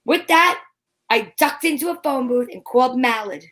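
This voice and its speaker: High-voiced sassy lady detective, acted by a woman. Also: lively intonation, moderate pace, fairly bright tone, slight background noise. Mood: confident narrating. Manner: wisecracking, coy, teasing. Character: sassy, flirtatious female private detective narrating her case. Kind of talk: old-time radio detective parody.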